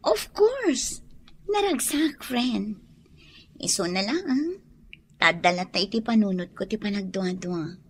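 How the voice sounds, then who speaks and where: A woman's voice: medium pitch, expressive, takes it slowly, pauses often, husky. An actress playing an emotional young woman in love in a radio drama, with an Ilocano accent. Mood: hesitant. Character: young woman in love, wary after heartbreak.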